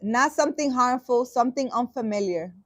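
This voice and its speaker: A woman with a fairly high voice, recorded dry and close with almost no room sound. She reads a dream interpretation aloud, then breaks in with sarcastic, exasperated asides. Her speech is halting, full of restarts and casual swearing. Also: expressive, dry recording. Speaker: spiritual vlogger.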